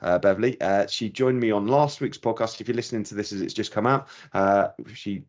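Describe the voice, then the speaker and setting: A man's voice: fairly low pitch, a little fast, a little mellow, highs cut off. A man hosting a podcast, talking hesitantly with many restarts, friendly toward his guest. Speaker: podcast host. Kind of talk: podcast interview.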